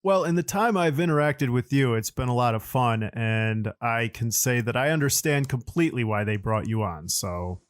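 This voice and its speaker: A man's voice: medium pitch, animated, moderate pace, hardly any pauses, clean tone, dry recording, studio quality. A man of forty hosting a casual podcast, with wordy, mock-formal phrasing. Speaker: podcast host. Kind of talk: casual chat, moderating.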